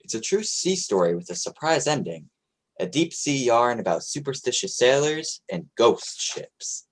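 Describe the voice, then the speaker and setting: A man's voice: medium pitch, very animated, moderate pace. A man introducing and narrating an old-time radio drama as its lead actor, in a folksy storyteller's manner.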